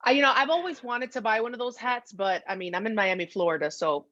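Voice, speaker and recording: A woman's voice: a little high, quick pace, crowd noise. A woman co-hosting a podcast with casual comedic banter, playfully mock-dramatic. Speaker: podcast co-host. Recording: dull, dry audio.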